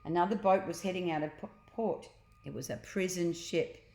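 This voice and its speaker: A woman's voice: on the low side, moderate pace, mellow. A woman reading a children's storybook aloud, adding explanatory asides for young listeners. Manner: explains pictures and words to children. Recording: roomy, echoing sound.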